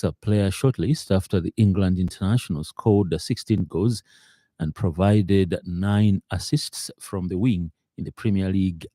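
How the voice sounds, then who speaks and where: Deep-voiced man reading news. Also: warm tone, no echo. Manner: halting, hesitant reading. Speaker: radio news presenter. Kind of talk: scripted news bulletin reading.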